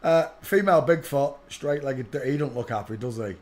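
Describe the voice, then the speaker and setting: A man with a middle-pitched voice talks over video clips in a brash, mocking way. He throws in quick offhand asides and sounds casual and unscripted throughout. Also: a little mellow. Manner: brash, scoffing, jumps between asides and quick remarks. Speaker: channel host commenting on clips.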